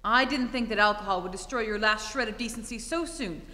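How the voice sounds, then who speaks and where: A woman acts an imperious young heiress in an old radio comedy. Her voice is high, bright and sharp-tongued. It echoes in a theatre hall. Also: brisk. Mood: withering. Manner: imperious, indignant, withering. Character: haughty, sharp-tongued young society bride. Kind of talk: staged 1940s-style radio comedy acting.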